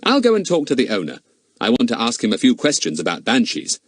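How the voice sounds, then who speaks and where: Storybook role: male reader as smart schoolboy detective, lively intonation, courteous, innocently cheeky, talkative. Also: medium pitch, fast, slightly rough, no echo, clean studio sound. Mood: confident. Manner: polite, mock-innocent, talkative. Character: clever, cheeky, politely confident schoolboy sleuth.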